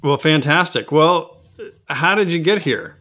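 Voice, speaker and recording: A man's voice: fairly high pitch, measured pace, bright, forceful tone. A middle-aged man hosting a podcast, introducing and interviewing. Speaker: podcast host.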